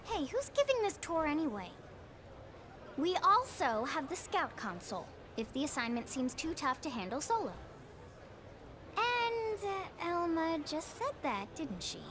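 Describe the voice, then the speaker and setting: A woman's voice: very high-pitched, sing-song, unhurried, background noise, full character voice. An actress playing a perky, eager young woman guiding a tour in a video game, with a bubbly, playful, pushy manner. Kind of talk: video game cutscene acting.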